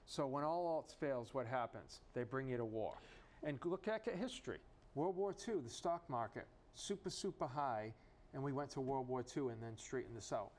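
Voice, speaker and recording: A man's voice: mid-range voice, moderate pace, a little mellow. Opinionated man asking rhetorical questions. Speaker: talk show co-host. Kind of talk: casual political chat.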